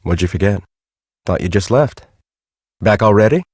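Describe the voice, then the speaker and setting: Bitter, arrogant scientist, wildly swinging pitch. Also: male voice, animated, normal speed, darkish tone, slightly rough, dry recording, clean studio sound. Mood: dismissive. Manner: sardonic, condescending, theatrical. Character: bitter, arrogant middle-aged male tornado scientist. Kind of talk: video game character acting.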